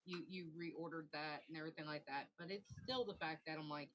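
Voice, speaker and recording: A man co-hosting a casual livestream, projecting his voice and talking at length when excited. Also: brisk, muffled recording. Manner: says he projects, bassy and animated when excited. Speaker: stream co-host. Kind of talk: casual group chat.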